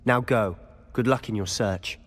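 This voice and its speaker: An actor playing a cocky young wizard student with a smooth, conspiratorial, self-assured manner. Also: male voice, on the low side, measured pace, a bit bright, long reverb tail. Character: cocky teenage wizard student, scheming, confident. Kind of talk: video game cutscene acting.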